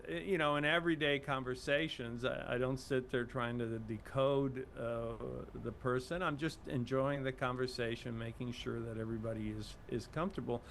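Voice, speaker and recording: A man's voice: medium pitch, steady tone, moderate pace, hardly any pauses, a bit bright, a little hiss or hum. An older man from Cuba, a guest in an interview, speaking modestly with many fillers and restarts. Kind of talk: casual interview talk.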